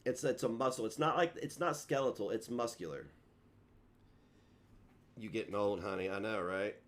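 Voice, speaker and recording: A man's voice: fairly low pitch, moderate pace. A man, chatting casually on a livestream in a rambling, self-mocking way and tripping over his words. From Kentucky.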